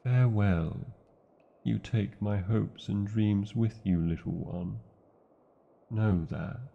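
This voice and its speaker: A man's voice: on the low side, slow pace, gravelly, dull audio, exaggerated voice. A man with a British accent voicing a large, devoted, proud male dragon in a fantasy ASMR romance, speaking tenderly with theatrical, formal wording. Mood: wistful.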